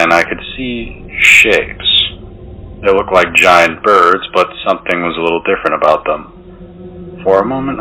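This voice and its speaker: A man playing a weary, fearful young sailor of the 1700s, reading his journal gravely and slowly for a horror audio story. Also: low-pitched, sharp tone, a little hiss or hum, low-quality recording. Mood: haunted, tense.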